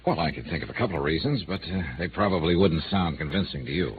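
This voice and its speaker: An actor playing a wry, world-weary investigator in a 1950s radio noir drama, with a dry, clipped, sardonic delivery. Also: male voice, deep voice, lively intonation, fast talker, a little hiss or hum. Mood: skeptical. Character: wry, world-weary freelance insurance investigator, tough and skeptical. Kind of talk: 1950s radio noir acting and first-person narration. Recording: narrow-band vintage radio recording, music behind.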